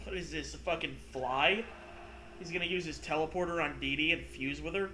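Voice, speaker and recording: A man's voice: on the high side, brisk, bright, forceful tone, rough recording. A young man co-hosting a comedy podcast with sarcastic, profane, casual banter, recorded with some noise and clicks. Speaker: podcast co-host. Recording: clear background noise with clicks.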